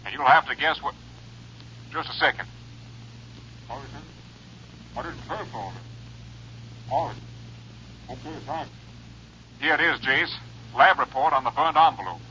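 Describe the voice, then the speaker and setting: An American actor playing a tense police captain relaying urgent news in clipped phrases over the phone, in 1950s radio drama style. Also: male voice, medium pitch, measured pace, pauses often, sharp tone, a little hiss or hum. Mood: grave, tense. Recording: dull, rough long-distance phone sound.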